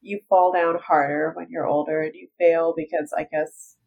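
A woman's voice: low voice, steady tone, moderate pace, gravelly. Stuffy woman with wry easygoing chat. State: stuffy from allergies. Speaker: podcast co-host. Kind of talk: casual chat. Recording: slightly dull sound.

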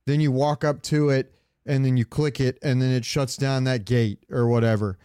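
A man's voice: medium pitch, fairly even intonation, moderate pace, warm tone, smooth, no echo, clean studio sound. A man co-hosting a podcast, chatting casually about video games and rambling as he searches for words. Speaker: podcast co-host.

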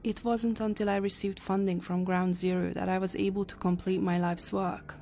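A woman's voice: mid-range voice, flat delivery, moderate pace, warm tone, soft background music, lightly acted. A woman playing an earnest, grave time-travel scientist in an audio drama. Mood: grave explaining.

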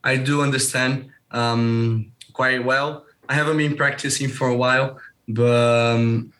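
Brazilian-accented young man, halting delivery. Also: mid-range voice, steady tone, fairly bright tone. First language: Portuguese. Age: young adult. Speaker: interview guest, school alumnus. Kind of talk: casual interview answers.

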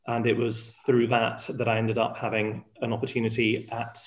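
Spanish-born man, flat even delivery. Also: medium pitch, normal speed. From Spain. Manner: relaxed, hesitant with many fillers. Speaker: Greek lecturer, guest. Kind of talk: casual interview talk.

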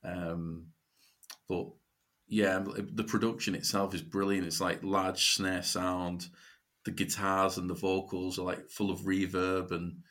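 Low, even-toned Northern English man. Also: slow pace. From Cumbria, England. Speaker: guest musician. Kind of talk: casual conversational interview answers.

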